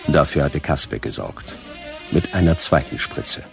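Very deep, gravelly detective. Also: male voice, measured pace, faint music behind, full character voice. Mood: matter-of-fact. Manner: terse, dry, deadpan. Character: laconic hard-boiled private detective narrating his case. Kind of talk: noir radio play narration.

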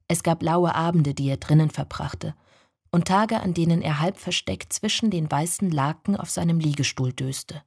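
An actress playing an observant neighbour who recalls a family, in measured literary radio drama narration. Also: female voice, sits low, a little mellow, husky, full character voice. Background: music.

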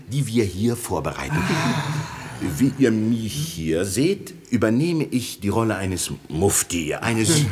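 An actor playing a sly servant who poses as a pompous Turkish mufti, declaiming and chanting theatrically in a 1960 German radio comedy. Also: male voice, mid-range voice, echoey room. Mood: amused, conspiratorial. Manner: declamatory, chanting mock-foreign broken German. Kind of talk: 1960s German radio comedy acting, half sung ceremonial chant. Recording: 1960 studio radio play, loud music behind.

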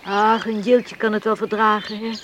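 A woman's voice: mid-range voice, flat delivery, normal speed, background noise, lightly acted. An actress in a Dutch radio play as a caring but firm, down-to-earth countrywoman. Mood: playful, firm.

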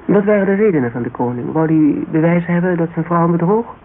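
Casting: probing male investigator, fairly high voice, patient and terse, radio play with narrow hissy sound. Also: expressive, quick pace. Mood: businesslike probing. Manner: probing, terse, murmuring acknowledgements. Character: patient, businesslike male investigator questioning a witness. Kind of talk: naturalistic radio drama dialogue. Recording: muffled, hissy old radio recording.

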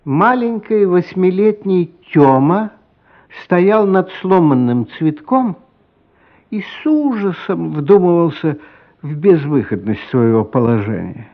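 A man playing the expressive narrator of a radio play, telling a childhood story in a theatrical way that savours each phrase. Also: on the high side, takes it slowly, narrow, tinny audio, heavily acted. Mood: savouring, dramatic.

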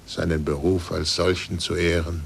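An actor playing an aged, bitter, resigned scientist in a 1940s radio drama, speaking formally and deliberately. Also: male voice, deep voice, level tone, creaky. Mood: reflective. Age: older. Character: aged recanted scientist under church supervision, bitter and resigned. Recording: noisy 1940s radio recording.

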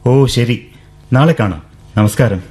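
A bookish young gentleman with a gentle, teasing way of speaking, chuckling easily. His pitch moves freely and expressively. The scene plays like an old radio drama. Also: animated, takes it slowly, breathy edge. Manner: soft-spoken, playful, with light chuckles. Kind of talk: 1970s-style radio drama acting.

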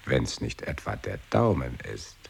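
Evasive one-legged man, slow and lively in pitch, faint hiss on the tape. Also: vocal fry, heavily acted. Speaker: actor in a radio play. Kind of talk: experimental radio play acting.

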